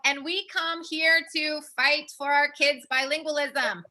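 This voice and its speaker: A woman's voice: sits high, fairly even intonation, a little slow, bright, forceful tone. A young American woman co-hosting a casual advice chat, speaking emphatically and repeating her points. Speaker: host, language program founder.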